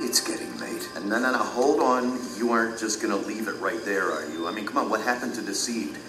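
Eager war correspondent male actor, conversational and pushy, lowish voice in an echoing space with music. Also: fairly quick, lightly acted. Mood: eager, insistent. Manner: insistent, informal. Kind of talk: conversational audio drama acting. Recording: echoey room, music underneath.

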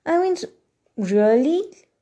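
A woman with an Indian English accent hosts a solo podcast at an unhurried, drawn-out pace. Her voice is soft and mellow, and she speaks earnestly and thoughtfully, filling pauses with ums and uhs. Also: high-pitched, big pitch swings, highs cut off. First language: Kannada. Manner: earnest, reflective, with frequent fillers. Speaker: podcast host.

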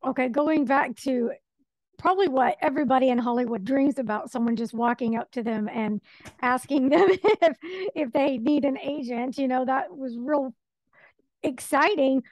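A woman hosts a podcast interview in a high, light voice. She is friendly and asks long, open questions. The recording is dry and close. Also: normal speed, a little mellow, dry recording, rough recording. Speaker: podcast host. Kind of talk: interview hosting.

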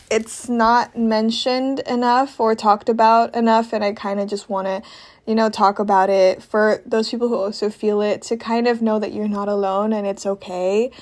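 A young woman from Indonesia talking casually and candidly to listeners as a podcast host, in an informal, confiding way with slang. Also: mid-range voice. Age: young adult. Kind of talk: casual personal talk to listeners.

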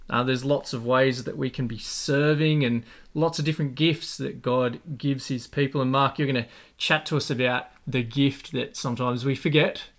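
A man's voice: mid-range voice, a bit bright. A young Australian man hosting a church podcast, friendly and chatty with his guest. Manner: friendly, chatty, quick to laugh. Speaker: podcast host.

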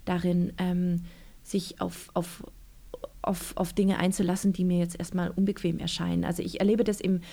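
A woman's voice: on the low side, flat delivery, moderate pace, gravelly, warm tone. A woman, an author being interviewed, talking casually and reflectively, with many fillers and restarts.

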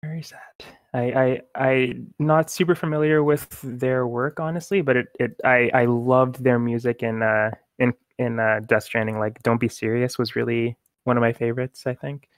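Halting male podcast co-host, casual. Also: medium pitch, steady tone, dry recording, studio quality. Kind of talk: casual chat.

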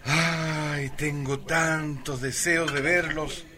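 An affectionate, teasing Chilean man in a radio play as a grown son. He talks casually in a fairly high voice, with noticeable ambient noise behind. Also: flat delivery, extremely slow. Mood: fond, teasing. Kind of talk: radio drama acting, casual chatter.

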